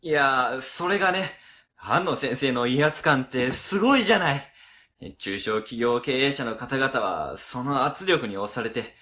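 Bright-voiced, chatty male office worker played by an actor. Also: medium pitch, a little slow. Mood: wry, gossipy. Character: chatty male office colleague recounting a meeting, mild. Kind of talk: radio drama acting, explanatory dialogue.

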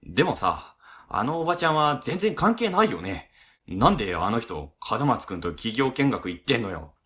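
A man's voice: medium pitch, very animated, moderate pace, a bit bright. A man playing a fussy, grumbling middle-aged section chief, fretful and talkative, in a radio drama. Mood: fussy, musing.

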